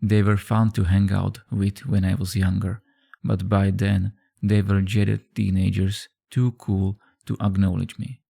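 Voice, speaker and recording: A man reading a scripted horror story as a narrator, in a measured, subdued storytelling manner. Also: deep voice, flat delivery, gravelly, dark tone. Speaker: creepypasta narrator. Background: background music.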